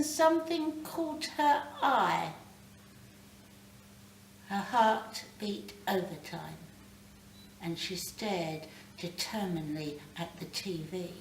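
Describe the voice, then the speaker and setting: A British woman reading a short story aloud in a dramatic, deliberate way. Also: medium pitch. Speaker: story reader. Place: live reading in a library. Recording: roomy, distant mic, faint background noise.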